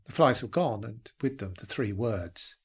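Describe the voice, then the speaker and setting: A man reading a dark short story as a dramatic narrator, in a brooding, theatrical manner that can drop towards a whisper. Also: lowish voice, lively intonation, measured pace, husky.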